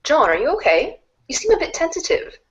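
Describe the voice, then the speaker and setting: A woman voicing a composed, analytical, wry teenage girl in a read-along webcomic, articulate and measured. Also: high voice, huge pitch swings, voice pushed up. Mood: concerned.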